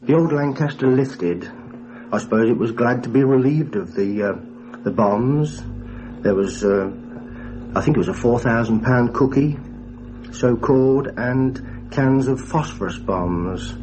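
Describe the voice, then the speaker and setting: A man's voice: medium pitch, unhurried, darkish tone, poor audio. A middle-aged man recounting wartime memories as a former wireless operator, hesitant and understated. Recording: clear background hum.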